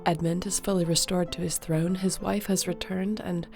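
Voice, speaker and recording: Low, mellow-voiced sorceress voiced by a woman. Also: steady tone, moderate pace, gravelly, soft background music. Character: warm, loyal female court sorceress. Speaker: audio roleplay narrator. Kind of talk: scripted audio roleplay.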